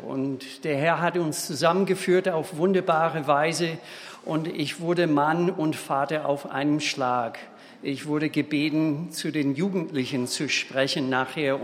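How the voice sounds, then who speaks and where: A man in his fifties from Minnesota with an American accent, preaching a sermon in a halting way, with restarts and small slips. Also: fairly high pitch, fairly bright tone. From Minnesota, USA. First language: English. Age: 50s. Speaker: guest preacher. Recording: long hall reverb.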